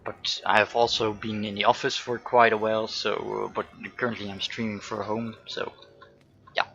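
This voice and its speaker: Bright-toned Dutch man. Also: on the low side, little pitch movement, moderate pace, vocal fry, highs cut off. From Netherlands. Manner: answers chat questions between gameplay remarks. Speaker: game developer hosting a dev stream. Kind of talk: casual stream Q&A while playing. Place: home.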